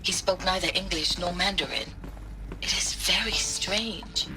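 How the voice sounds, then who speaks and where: A woman's voice: fairly low pitch, measured pace, over music, rough recording. A woman playing a bewildered, polite scientist in a video game, speaking hesitantly with careful, formal wording. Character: bewildered, polite female scientist, anxious and doubtful. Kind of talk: scripted game dialogue.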